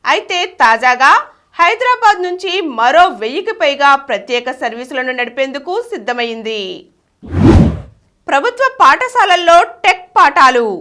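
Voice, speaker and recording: A woman's voice: high-pitched, very animated, moderate pace, pushed and bright. A woman reading a scripted news bulletin as the newsreader. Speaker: news reader.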